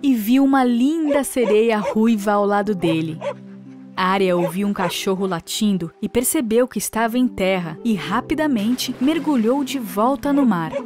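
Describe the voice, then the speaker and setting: A woman narrating a children's fairy tale in a clear, scripted storytelling manner. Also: medium pitch, expressive, normal speed. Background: background music.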